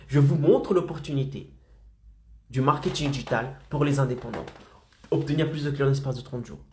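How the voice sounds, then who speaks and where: A man's voice: fairly high pitch, darkish tone, echoey room. A man with a slight head cold, coaching freelancers in a motivational live stream and keeping his audience engaged with frequent check-ins. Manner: insistent, keeps checking in with "d'accord?" and "ok?".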